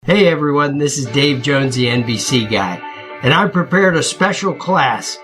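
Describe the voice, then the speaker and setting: A man's voice: medium pitch, animated, normal speed, fairly bright tone, soft background music. A man delivering a scripted advertisement as a survival instructor. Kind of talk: scripted ad read.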